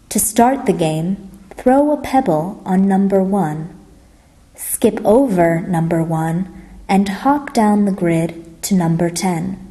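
Slow, mellow-voiced woman reading instructions. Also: mid-range voice. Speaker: teacher reading game rules. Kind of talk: scripted listening-lesson narration.

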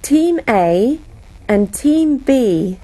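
A woman slowly reading out classroom phrases for teachers, each phrase spoken separately. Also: sits high, expressive, warm tone. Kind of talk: slow, clearly spoken classroom English read aloud.

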